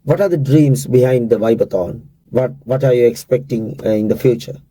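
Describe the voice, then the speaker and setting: A man hosting an interview, asking slow, deliberate questions. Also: medium pitch, warm tone, no echo, low-quality recording. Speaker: interviewer, host.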